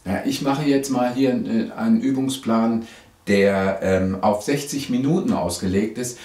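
A middle-aged man, hoarse from a cold, teaching a bass lesson to camera in a chatty way, with "ja?" and "ähm" fillers. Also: measured pace, a little mellow. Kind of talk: video lesson, direct to camera. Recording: roomy echo.

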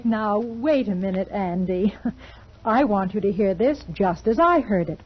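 An actress playing a kindly storyteller on 1940s children's radio, gentle and expressive, with a husky voice. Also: female voice, mid-range voice, a little slow. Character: kindly woman storyteller talking to listening dolls. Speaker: storyteller host of children's show. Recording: dull vintage 1940s radio recording.

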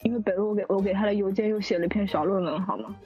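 A woman talks casually in a group chat as one of a production team. She gushes and repeats herself for emphasis. The sound is slightly dull, with soft music underneath. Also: medium pitch, fairly even intonation, racing. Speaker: audio drama production staff. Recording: muffled online voice chat, music behind. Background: background music.

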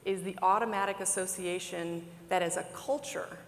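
A woman's voice: lowish voice, steady tone, normal speed. A woman speaks on a conference panel and explains research in a precise, orderly way. She wears a lapel mic. Her voice carries a long hall reverb over a faint hiss. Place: conference stage.

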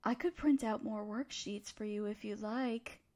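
A woman's voice: medium pitch, a little slow, a little mellow, lightly acted. A woman playing a young female student, a teasing but encouraging study partner, in an intimate audio roleplay addressed to the listener. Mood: playful, teasing. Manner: teasing, conversational, affectionate.

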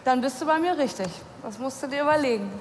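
A woman's voice: high-pitched, fast talker, slight background noise. A woman judge giving playful, enthusiastic feedback in a large TV studio. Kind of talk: casual feedback. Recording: reverberant studio with audience.

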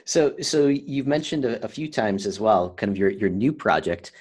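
A man's voice: lowish voice, animated, moderate pace, a little mellow, slightly rough. A man hosting a podcast, interviewing his guests in a casual conversational way. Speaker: podcast host.

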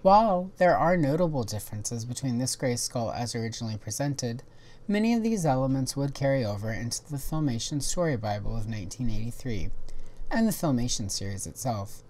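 A woman hosting a toy history video, giving scripted documentary narration in a measured, informative way. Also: extremely low pitch, big pitch swings, warm tone.

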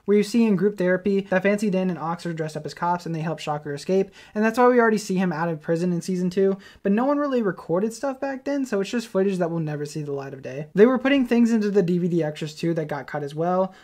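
Male video essayist, rapid delivery, informal fan commentary. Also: high voice, expressive, darkish tone.

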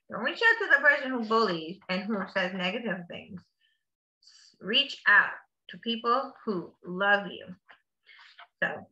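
A woman with a very bright, forward voice reads a children's book aloud at a slow, deliberate pace. She sounds like an encouraging teacher, stretching key words and adding simple asides. The room gives her voice a clear echo. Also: fairly high pitch. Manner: slow, drawn-out emphatic reading. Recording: roomy echo, slightly dull sound.

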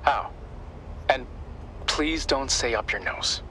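A man's voice: mid-range voice, slow pace, bright, forceful tone, a little hiss or hum, low-quality recording. An actor playing a sensible, exasperated young man, dry and reproving, in conversational game dialogue. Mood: dry, concerned. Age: young adult. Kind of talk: conversational game cutscene acting.

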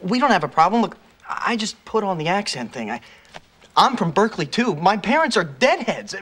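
A man's voice: sits high, sing-song, normal speed, fairly bright tone. An actor playing a flustered young man who stammers and pleads, in a 1990s sitcom. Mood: pleading. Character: flustered young man dropping a fake accent.

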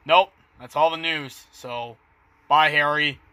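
A man playing a chatty doctor on a casual, awkward phone call in an amateur short film, talking in a halting, matter-of-fact way. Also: fairly high pitch, fairly even intonation, sharp tone, clean tone, no echo. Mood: casual, explaining. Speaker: amateur voice actor.

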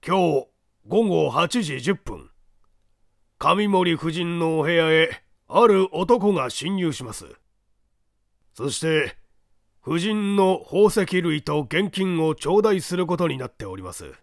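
A man voicing a sly, very polite old man with a husky voice in a storybook reading. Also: on the high side, lively intonation, no echo, studio quality, exaggerated voice. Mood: calmly menacing. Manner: exaggeratedly polite, unhurried, teasing. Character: hoarse old man phone caller, polite, sly thief.

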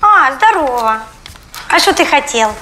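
A high-pitched, swooping voice of a brash young cartoon wife. She snaps and teases in colloquial retorts, with music playing behind her. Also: sing-song, measured pace, bright, forceful tone, exaggerated voice. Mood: snappy, impatient. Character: brash, sassy young wife, teasing and scolding. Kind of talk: animated comedy acting.

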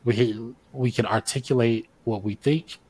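A man's voice: medium pitch, fairly even intonation, measured pace, a little mellow, breathy edge. A man in his thirties, a podcast guest on a video call, explaining his views at length in a casual, thoughtful way. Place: home studio, indoors. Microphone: large studio mic on video call.

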